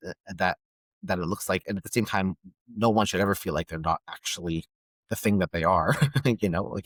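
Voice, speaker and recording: A man from Palestine, a guest on a podcast, speaking thoughtfully and pausing often to search for words. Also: deep voice, fairly bright tone, no echo. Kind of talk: reflective conversation.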